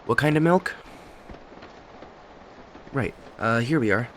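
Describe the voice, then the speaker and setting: A man's voice: medium pitch, slow. A man acting a talkative, kindly cafe owner in an ASMR roleplay, chatting to the listener in a friendly, hesitant, apologetic ramble. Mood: chatty, upbeat. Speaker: voice actor in an audio roleplay. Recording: steady rain noise behind voice. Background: rain and cafe ambience.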